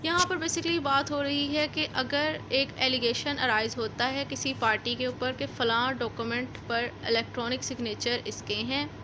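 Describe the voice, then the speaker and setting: A woman with a high voice and a Pakistani accent teaches in an explanatory lecture style. She repeats and rephrases her points informally for learners. Clear background noise sits behind her voice. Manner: reads formal text slowly, then explains informally with repetition. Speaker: law lecturer.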